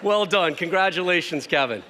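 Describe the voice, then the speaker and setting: A man hosting a ceremony, loud and joking, excitable as he teases an honoree. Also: high-pitched, moderate pace, a little hiss or hum. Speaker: host.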